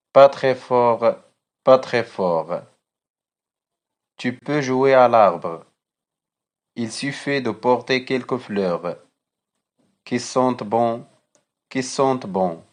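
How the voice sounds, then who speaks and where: A man reciting a children's poem for a school lesson, deliberately and with measured phrasing, as a teacher would. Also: medium pitch.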